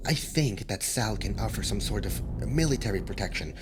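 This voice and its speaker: A man voicing a nervous, gossipy male demon in an ASMR audio drama, talking in hushed tones and stammering often. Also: on the low side, lively intonation, brisk, noisy background, lightly acted. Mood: conspiratorial.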